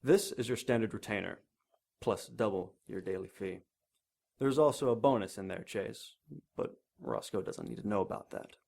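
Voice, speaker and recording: A man's voice: lowish voice, expressive, moderate pace, vocal fry, darkish tone. A man playing a shady, rich older businessman, curt and imperious, in a 1930s noir audio drama. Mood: businesslike.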